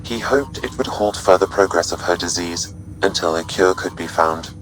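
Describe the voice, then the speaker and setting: An actor playing a calm, dutiful artificial intelligence in a video game, precise, formal and composed. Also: male voice, low voice, moderate pace, slight background noise, natural voice, poor audio. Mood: calm, informative. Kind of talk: video game dramatic acting.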